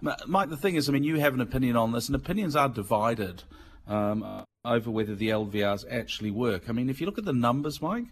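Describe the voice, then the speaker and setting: A man's voice: medium pitch, fast talker. A middle-aged man from England with a Mancunian accent, giving a chatty business and markets segment on the radio and explaining things in plain words. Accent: Mancunian English. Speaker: financial markets commentator.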